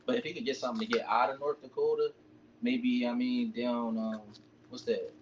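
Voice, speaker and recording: A man's voice: mid-range voice, fairly even intonation, normal speed. A man chatting casually as the sidekick on a livestream. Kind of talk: casual chat. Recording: dull lo-fi audio with background noise.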